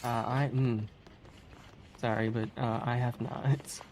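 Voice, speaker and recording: A speedrunner giving casual live commentary in a halting, hesitant way, sometimes giggly. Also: medium pitch, level tone, darkish tone. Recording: clearly noisy stream audio.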